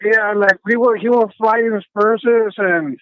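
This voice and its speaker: A man in his fifties from Texas, calling in from his car while driving, speaking politely in halting sentences with many restarts. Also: high voice, no echo. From Texas, USA. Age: 50s. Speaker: caller. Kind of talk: phone call-in. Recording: dull phone line.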